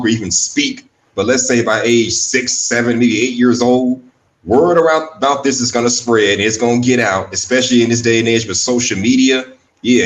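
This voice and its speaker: A man giving a critical, colloquial opinion monologue as a panel guest. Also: medium pitch. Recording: distant from the mic.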